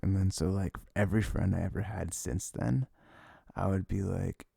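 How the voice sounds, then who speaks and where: Gravelly, low-voiced young man in intimate ASMR. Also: fairly even intonation, no echo, studio quality. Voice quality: low, gravelly, breathy, often dropping near a whisper. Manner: rambling, halting, frequent "like", soft chuckles. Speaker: ASMR audio creator playing a comforting boyfriend. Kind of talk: intimate ASMR, casual unscripted rambling.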